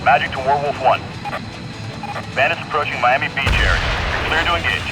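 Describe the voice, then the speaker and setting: An actor playing a businesslike military air controller in a video game, reporting in procedural radio style over loud music. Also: male voice, fairly high pitch, fairly quick, natural voice. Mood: businesslike, alert.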